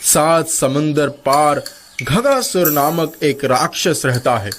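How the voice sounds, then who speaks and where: A man's voice: on the high side, slow, fairly bright tone, slight background noise. A man voicing a proud, wily king in a cartoon story, with a stately, commanding manner. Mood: stately, scheming. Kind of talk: Hindi cartoon story character dubbing.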